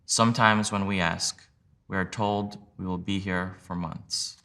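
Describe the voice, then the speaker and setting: A man reading a sworn legal declaration aloud in a measured, plain, steady way. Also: low voice. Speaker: reader of sworn testimony.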